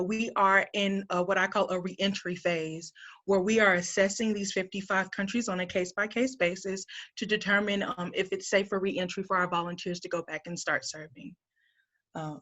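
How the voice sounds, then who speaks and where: Woman in her 30s, recruiter presenting to students, earnest and upbeat, bright forceful voice, muffled lo-fi call audio. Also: mid-range voice. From Montgomery, Alabama, US. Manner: upbeat and earnest, laughs lightly between points.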